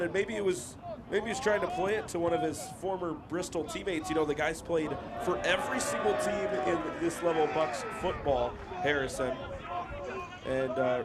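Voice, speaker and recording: A young American man doing brisk, chatty play-by-play commentary on a student football match. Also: a little high. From United States. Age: young adult. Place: outdoor football ground. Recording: live outdoor broadcast with loud crowd. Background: loud crowd noise.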